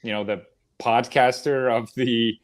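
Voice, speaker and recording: A man's voice: mid-range voice, steady tone, unhurried. A man chatting casually about movies as a podcast panelist.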